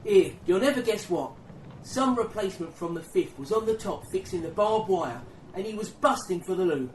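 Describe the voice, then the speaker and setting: A man plays a cheeky working-class English soldier in a wartime radio play. His high voice has a joker's manner, and it comes from a little way off in a roomy space. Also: expressive, moderate pace. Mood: amused, jokey. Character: cheeky, joking English soldier bringing tea round. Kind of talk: dramatic dialogue in an audio play. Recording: roomy, distant, faintly noisy recording.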